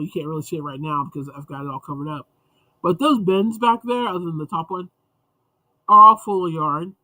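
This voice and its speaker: A man's voice: high voice, bright, forceful tone, no room sound, rough recording. A man hosting a casual livestream chat, rambling and chatty with frequent restarts, sounding congested and sniffly. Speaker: livestream host.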